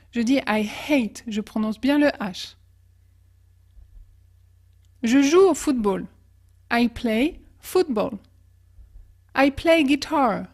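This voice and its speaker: High-voiced woman teaching English slowly. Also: darkish tone. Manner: slow, careful model pronunciation of example phrases. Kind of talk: language lesson with example phrases.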